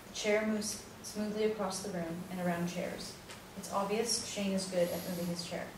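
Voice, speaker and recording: A woman's voice: mid-range voice, fairly even intonation, normal speed. A woman reading scripted stage directions as the narrator of a play. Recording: very echoey room, distant mic, noisy.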